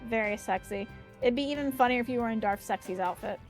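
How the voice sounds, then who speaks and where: A woman's voice: fairly high pitch, fast talker. Playful teasing woman streaming a game with a friend, faint music behind. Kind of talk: casual gameplay chat.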